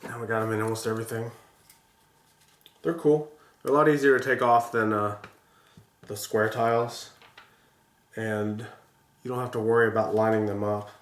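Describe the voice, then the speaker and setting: Vlogger, male, stuffy sinus voice, loose rambling chat, lowish pitch, roomy indoor sound. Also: level tone, moderate pace, long pauses, a little hiss or hum. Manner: rambling, frequent restarts and fillers. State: congested from a sinus infection. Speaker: vlogger building toy sets. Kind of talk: casual chat while building.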